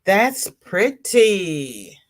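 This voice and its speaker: A woman's voice: medium pitch, big pitch swings, very slow. A congested woman hosting a casual live crafting chat, greeting viewers by name and reading out their comments. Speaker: livestream host.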